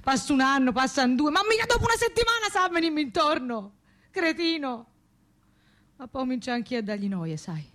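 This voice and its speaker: A woman's voice: sits high, big pitch swings, a little fast, fairly bright tone. A scornful widow in a naturalistic radio drama. She speaks with a Tuscan accent, blunt and sarcastic, throwing her bitterness straight at whoever is listening. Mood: scornful, indignant. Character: bitter, scornful widow railing at a family friend. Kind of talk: naturalistic radio theatre acting.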